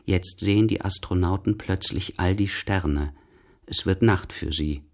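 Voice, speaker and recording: A man with a very deep voice narrates a radio drama. His delivery is scripted and literary, measured like a storyteller's.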